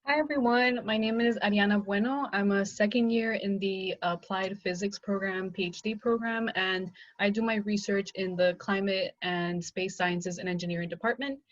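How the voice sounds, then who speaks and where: A young woman from Miami introduces herself warmly over a video call. The sound is very dry and close, with no room around it, and slightly muffled, and her pitch sits in the middle range. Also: fairly even intonation, moderate pace, few pauses, dry recording. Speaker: PhD student panelist. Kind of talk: casual self-introduction.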